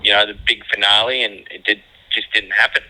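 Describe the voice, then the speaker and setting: Deep-voiced Australian man, very sharp sound. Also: flat delivery, brisk, rough recording. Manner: laconic, heavily sweary, matey. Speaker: phone-in guest calling from prison. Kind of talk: casual banter on a phone call. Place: phone call from a prison. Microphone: telephone.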